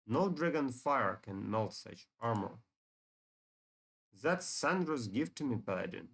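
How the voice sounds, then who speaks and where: A man's voice: medium pitch, animated, unhurried, gravelly, fairly bright tone. A man voicing a greedy, haughty elder dragon in a video game, speaking imperiously and with menace. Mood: threatening, boastful. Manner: imperious, threatening.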